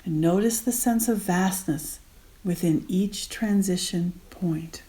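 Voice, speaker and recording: A woman guiding a meditation gently and unhurriedly in short spaced phrases, with soft, breathy endings. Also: on the low side, takes it slowly. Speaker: meditation guide. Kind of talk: guided meditation.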